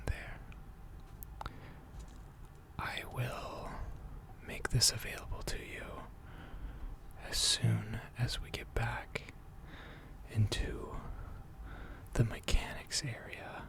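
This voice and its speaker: A person in an ASMR roleplay voicing a gentle, attentive mechanic who tends robots, speaking formally and deliberately in a soft, breathy whisper. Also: mid-range voice, little pitch movement, full character voice. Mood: calm, methodical. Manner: slow, deliberate, soothing, formal.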